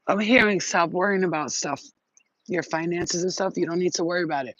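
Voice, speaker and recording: A man giving a casual spiritual reading on a live stream, telling rambling, excitable stories with plenty of swearing. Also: sits high, a little fast, dry recording, lo-fi sound. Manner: rambling, profane, excitable storytelling. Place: outdoors near trees.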